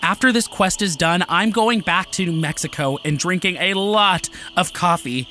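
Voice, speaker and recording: A man's voice: high voice, normal speed, sharp tone, a little hiss or hum. A man playing an earnest, wistful young male satyr in an audio drama, talking in a rambling way. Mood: wistful, hopeful.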